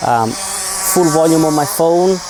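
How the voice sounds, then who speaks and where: A man's voice: a little high, animated, slow pace, noisy background. A man giving a casual, informal technical explanation as a co-reviewer of film gear.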